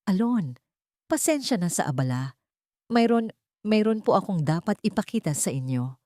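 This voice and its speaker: A woman's voice: mid-range voice, very animated, moderate pace, a little mellow, no room sound, clean studio sound, voice pushed up, close to an everyday voice. A woman voicing a scheming woman who speaks with hesitant, polite, feigned worry in an audio drama story. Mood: feigned concern. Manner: hesitant, polite, falsely anxious. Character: scheming woman feigning worried, deferential concern.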